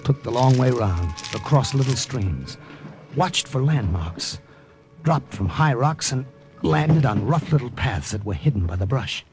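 A man's voice: medium pitch, lively intonation, measured pace, over music. An actor playing a wartime secret agent, a man telling his mission in terse, suspenseful old-time radio drama style. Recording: vintage radio recording.

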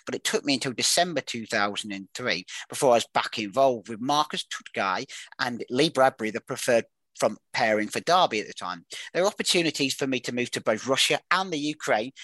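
A man hosting a football quiz, reading career clues in a brisk, matter-of-fact way with dry asides. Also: medium pitch, fairly bright tone, dry recording, poor audio. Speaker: quiz host.

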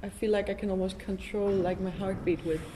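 A woman's voice: mid-range voice, steady tone, a little fast. Woman interviewer in a noisy, echoing hall. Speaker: interviewer, podcast host. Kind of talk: casual, reflective conversation. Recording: noisy, reverberant hall recording.

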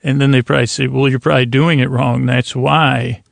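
A man hosting a bedtime sleep podcast, rambling in a halting, stammering way and often trailing off mid-thought. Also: mid-range voice, flat delivery, creaky, no echo. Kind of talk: rambling, meandering bedtime monologue.

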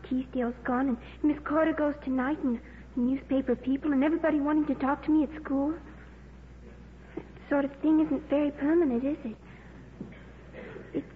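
A woman's voice: high-pitched, close to an everyday voice. An actress playing a solemn, precocious, lonely schoolgirl in an old radio drama, earnest and bookish. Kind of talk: 1950s radio drama acting. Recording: muffled noisy old radio broadcast.

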